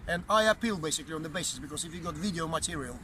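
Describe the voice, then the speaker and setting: A Russian man speaking English with a Russian accent, a boxing promoter being interviewed outdoors, blunt and animated, often repeating himself. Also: a little high, very fast. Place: outdoors, windy. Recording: outdoor wind noise.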